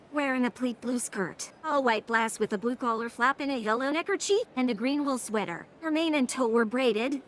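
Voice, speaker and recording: A woman's voice: a little high, a bit bright. A synthetic text-to-speech woman's voice narrating a scripted story. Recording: faint background noise.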